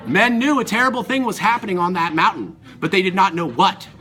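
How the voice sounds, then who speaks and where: Student guy reading a myth out dramatically, high sharp voice, slightly noisy amateur recording. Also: fairly quick. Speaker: student performer.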